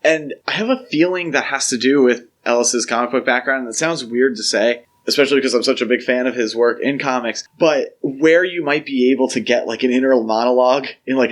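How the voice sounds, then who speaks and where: A man co-hosting a podcast, giving his opinions in an informal, conversational review chat. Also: medium pitch. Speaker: podcast co-host.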